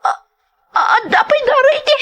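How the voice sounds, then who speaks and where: A woman's voice: very high voice, animated, normal speed, fairly bright tone. A woman with an Ilocano accent playing a naive, trusting young woman in an audio drama, hesitant and questioning. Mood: distraught, tearful. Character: naive, trusting young woman newly arrived seeking work. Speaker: voice actor in a radio-style drama.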